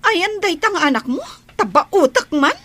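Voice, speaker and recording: A woman's voice: very high-pitched, lively intonation, a little fast. A woman with a Filipino accent playing a lazy, vain, bossy wife in a radio drama, whiny and commanding. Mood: irritated, bossy. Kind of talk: Ilocano radio drama acting. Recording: loud music behind.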